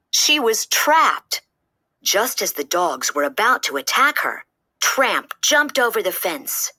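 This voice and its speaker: A woman reading a children's story aloud in an expressive, dramatic storytelling manner. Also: medium pitch. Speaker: storybook reader.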